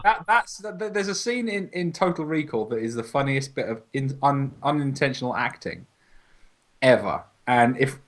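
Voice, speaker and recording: A man with a mid-pitched voice talks in casual podcast banter. He is emphatic and sweary, building his points into animated rants among friends. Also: normal speed. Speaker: podcast co-host.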